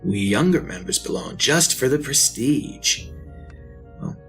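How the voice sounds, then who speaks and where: An actor playing an unhinged, pleading madman who confesses his tale in a halting, feverish radio drama style. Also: medium pitch, animated, vocal fry, a bit bright, faint music behind. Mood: brooding, nervous. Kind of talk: retro radio drama monologue.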